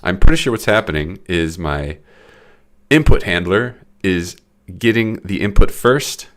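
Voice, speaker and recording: A man's voice: deep voice, lively intonation, measured pace. A young man with a stuffy, congested voice, rambling casually and self-deprecatingly while he streams his game development. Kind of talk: casual live-stream commentary.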